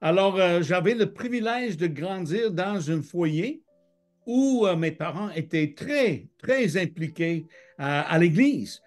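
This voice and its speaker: Brief: middle-aged man from Michigan, slow and hesitant, slightly high voice, video call. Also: lively intonation, soft background music. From Michigan, United States. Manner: hesitant, many "euh" fillers. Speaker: guest. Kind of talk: testimony interview.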